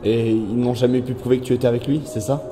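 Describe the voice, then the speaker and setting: A man's voice: medium pitch, steady tone, fast, over music, lightly acted. An actor playing an earnest, loyal young man in an audio drama. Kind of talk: French audio drama acting.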